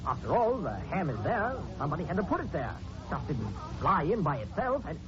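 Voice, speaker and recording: An actor playing an eager, inquisitive young newspaper reporter in a 1940s radio drama, excitable and probing. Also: male voice, a little high, a little fast. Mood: excited. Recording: muffled 1940s radio recording with music.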